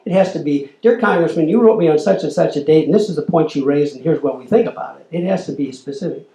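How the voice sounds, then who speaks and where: A man's voice: fairly high pitch, quick pace, mellow, echoey room, poor audio. An older man giving a storytelling lecture, acting out the quoted exchanges as he talks. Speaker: lecturer, former corporate lobbyist. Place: lecture hall.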